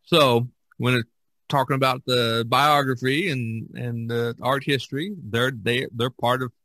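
A man's voice: mid-range voice, fairly even intonation, unhurried, sharp tone, dry recording. A man hosting a casual podcast discussion, rambling along, often repeating himself and trailing off. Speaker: podcast host.

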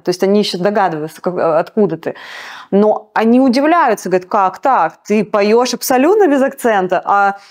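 Emphatic woman singer, motivational talk. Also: a little mellow. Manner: emphatic, motivational, pitch jumps up on key words. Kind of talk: interview guest.

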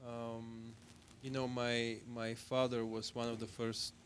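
A man's voice: medium pitch, completely flat delivery. Hesitant Bulgarian-accented man, faint room noise. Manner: hesitant, halting. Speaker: guest musician, jazz bassist. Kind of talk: casual interview answers. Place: TV studio stage. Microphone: handheld mic.

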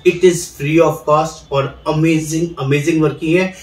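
A middle-aged man sits in a studio chair and pitches straight to camera in a persuasive, salesy way, calling his viewers friends. His voice sits a little high. He sounds set back from the microphone, in a room with a noticeable echo. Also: fairly even intonation, slow pace, faint music behind. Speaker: insurance agent recruiter, channel host. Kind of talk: direct-to-camera promotional explainer. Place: indoor studio, seated in office chair. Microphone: lapel mic. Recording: roomy echo, somewhat distant mic.

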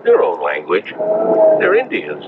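Folksy, plain-spoken Western guide for radio drama, lowish voice, tinny vintage recording. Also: male voice, wildly swooping pitch, a little slow, heavy background noise, exaggerated voice. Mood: reassuring. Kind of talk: 1970s radio drama acting.

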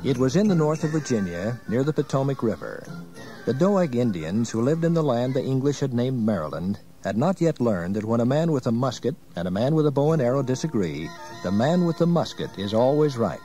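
A man's voice: mid-range voice, sing-song, fairly quick, mellow, soft background music. A man narrating a dramatized history from a script, as a storyteller.

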